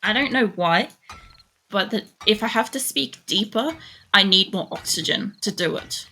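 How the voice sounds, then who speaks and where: A young woman streaming a game and chatting casually to her viewers, mostly flat in tone, rambling with lots of "like" and the odd swear. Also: normal speed. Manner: mostly monotone, jumps much higher when excited.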